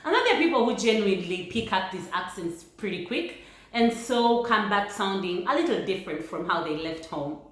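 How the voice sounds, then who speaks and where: A woman from Uganda with a Ugandan accent, talking through points in a casual vlog in a measured, thoughtful way. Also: medium pitch, lively intonation. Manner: thoughtful, measured, laughs lightly between points. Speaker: vlogger. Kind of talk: casual vlog talk to camera. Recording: very echoey room.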